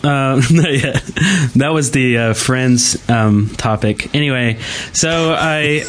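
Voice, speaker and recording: A man's voice: medium pitch, slow pace. Guy hosting a gaming podcast, normal mid voice, just casual chat. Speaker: podcast host.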